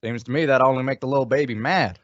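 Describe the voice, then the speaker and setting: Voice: mid-range voice, fast talker, no room sound, highs cut off, natural voice. A performer in an old-time western radio play, voicing a worried, folksy cowboy sidekick with a rustic drawl. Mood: exasperated.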